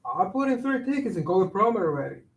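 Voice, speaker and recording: High-pitched skeptical streetwise pal played by a man. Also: sing-song, fast talker, vocal fry, full character voice. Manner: blunt, skeptical, slangy retorts. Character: skeptical, cautious streetwise friend, struggling young father. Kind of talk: staged play reading, slangy dialogue. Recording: roomy echo, distant microphone.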